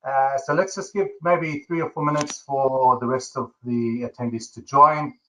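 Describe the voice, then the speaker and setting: A man's voice: medium pitch, fairly even intonation, normal speed, sharp tone, a little hiss or hum, low-quality recording. A man with a South African accent hosting a webinar, chatty and jokey with lots of fillers. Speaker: webinar host.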